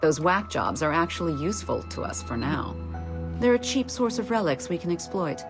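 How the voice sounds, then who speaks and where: An actress playing a commanding, pragmatic Templar superior, speaking in a measured, authoritative way. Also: female voice, lowish voice, lively intonation. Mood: cool, firm. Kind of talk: scripted game dialogue. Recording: loud music behind the voice.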